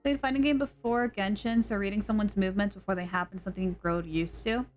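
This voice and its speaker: A woman's voice: mid-range voice, fairly even intonation, fast, faint music behind. Chatty woman streamer thinking aloud. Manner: chatty, rambling, thinking out loud with fillers. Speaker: game streamer. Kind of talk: casual live-stream chat.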